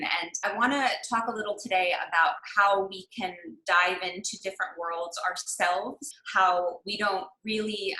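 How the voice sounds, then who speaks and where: A woman raised in the United States guides an online master class, her voice bright and forward. She speaks reflectively, searching for words with frequent pauses and fillers. The video-call audio carries a noticeable echo from the room around her. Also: medium pitch. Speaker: workshop leader. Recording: echoey room.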